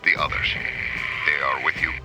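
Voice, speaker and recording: An actor playing a cold, calculating male general in a video game, speaking formally in a measured way. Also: rumbling low, level tone, gravelly, loud music behind the voice, rough recording. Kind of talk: video game cutscene acting.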